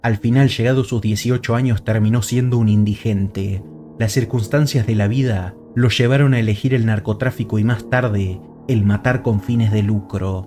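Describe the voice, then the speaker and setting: A man with a lowish, mellow voice tells a dark story in measured, serious narration. Faint music plays under him, heard played back in another video. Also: steady tone. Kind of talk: scripted documentary narration.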